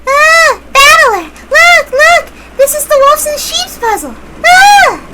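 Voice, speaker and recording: A woman voicing an excitable, eager little girl with squealing exclamations, in a visual novel read-aloud. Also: very high voice, slow pace, voice pushed up, full character voice. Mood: squealing excitement. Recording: loud noise behind the voice.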